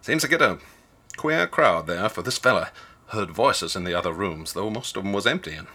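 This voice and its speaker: Deep-voiced folksy old ticket agent. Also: male voice, animated, normal speed, bright, forceful tone, full character voice. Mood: cautionary. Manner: folksy, rambling, rustic colloquial speech. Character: folksy older male small-town ticket agent, wary gossip. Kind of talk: radio drama dialogue.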